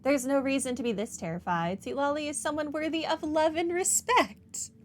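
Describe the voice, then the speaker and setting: A woman's voice: high-pitched, normal speed. A woman doing casual playthrough commentary and reading dialogue aloud, gushing in manner. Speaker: gaming streamer.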